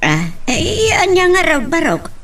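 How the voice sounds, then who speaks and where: A woman's voice: very high-pitched, huge pitch swings, measured pace, fairly bright tone, full character voice. A woman playing a kindly, concerned mother in an audio drama, hesitant and fretful. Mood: worried. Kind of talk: Ilocano radio-style drama acting.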